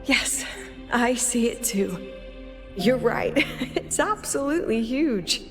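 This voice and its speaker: An actress playing a calm, tender academy professor and president, speaking in a measured, gentle way in a video game. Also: female voice, a little high, soft background music.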